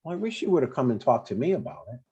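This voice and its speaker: An older man with a husky voice playing a blunt, easily annoyed but caring dad in an informal comedy table read. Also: mid-range voice, sing-song, rapid-fire, warm tone, muffled recording. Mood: annoyed, confused.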